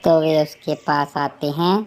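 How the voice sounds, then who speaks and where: Woman narrator, low pitch, slow pace, scripted children's storytelling, faint chirping behind. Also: level tone, darkish tone, a little hiss or hum.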